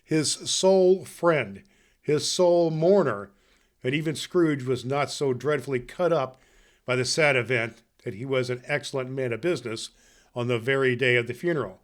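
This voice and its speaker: A man's voice: medium pitch, moderate pace, natural voice. A man playing a jolly, kindly old Santa Claus, hosting a festive podcast and reading storybook tales in a hearty, grandfatherly way. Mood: storytelling. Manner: hearty, grandfatherly, addressing children warmly.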